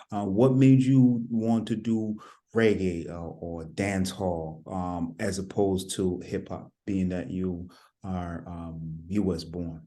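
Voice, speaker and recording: A man hosting an interview, asking slow, drawn-out questions with many fillers. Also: on the low side, warm tone. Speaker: interviewer host. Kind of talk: casual interview talk.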